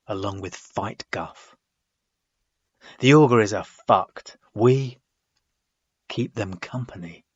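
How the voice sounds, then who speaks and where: A man reading his own poetry dramatically, in measured, deliberate phrases broken by frequent pauses. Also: on the low side, breathy edge, no room sound, lo-fi sound. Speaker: poet reading own work.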